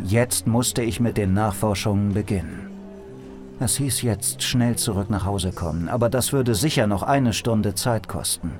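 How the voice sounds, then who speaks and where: A world-weary private eye in a noir radio play, played by a man. The voice sits low and stays dry and wry, with a desperate edge. Music plays clearly behind it. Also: close to an everyday voice. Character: down-on-his-luck male noir detective, wry and desperate. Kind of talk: first-person noir audio drama narration and dialogue.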